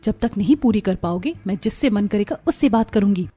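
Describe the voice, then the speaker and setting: A woman's voice: medium pitch, expressive, fairly quick, close to an everyday voice. Defiant scornful young wife, acted by a woman. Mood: angry, defiant. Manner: sharp-tongued, haughty.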